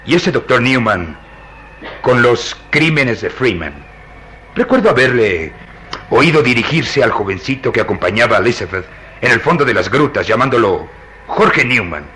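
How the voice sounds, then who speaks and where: A sharp, forceful male voice swinging widely in pitch as a police inspector in a radio serial. He is commanding and theatrical, pressing hard with every question. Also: very animated, normal speed, slight background noise. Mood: insistent. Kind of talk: Latin American radio drama acting.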